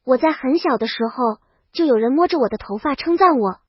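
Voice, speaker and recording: A woman's voice: high voice, lively intonation, fairly quick, husky, no room sound, muffled recording. A woman narrating a comedic story in the first person, in storybook style. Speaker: audiobook narrator.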